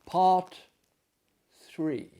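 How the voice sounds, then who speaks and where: Slow-paced, theatrical male storyteller. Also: sits high, mellow. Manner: hammy, dramatic, leisurely formal phrasing. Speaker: storyteller, performer. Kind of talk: theatrical storytelling with chatty asides.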